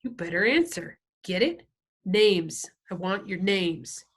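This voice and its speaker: A woman's voice: mid-range voice, lively intonation, slow pace. A woman voicing a hulking biker boss in a story, curt, bullying and mocking. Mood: curt, threatening. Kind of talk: audiobook character dialogue.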